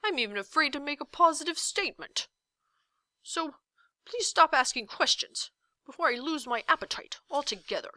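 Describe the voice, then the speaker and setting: A woman's voice: high-pitched, animated, moderate pace, pushed and bright, no room sound, pitched above the performer's own voice. A woman voicing a huge gelatinous giant in a children's storybook, blustering and ferocious yet timid and hesitant. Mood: flustered, peevish. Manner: blustering, hesitant, hedging every statement. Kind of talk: children's storybook read-aloud.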